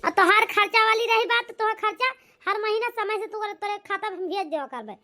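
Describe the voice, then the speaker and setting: A woman voicing a harsh, scolding, ungrateful grown daughter in an amateur cartoon, with an Awadhi accent, a bossy manner and a pitched-up voice. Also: a little fast. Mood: scolding, dismissive. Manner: sharp, bossy, contemptuous. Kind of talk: amateur cartoon comedy-drama acting.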